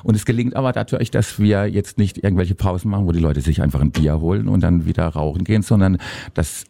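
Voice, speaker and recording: A man's voice: sits low, fast, creaky, dark tone. A man who organizes and emcees an event, talking in a rambling way with many fillers and frequent laughs during a radio studio interview.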